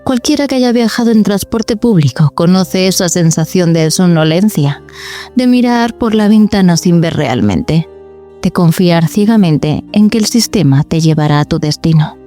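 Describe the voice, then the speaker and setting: A woman with an accent from Spain, hosting with measured, enigmatic, formal scripted narration. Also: fairly low pitch, expressive, mellow, soft background music. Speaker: podcast host.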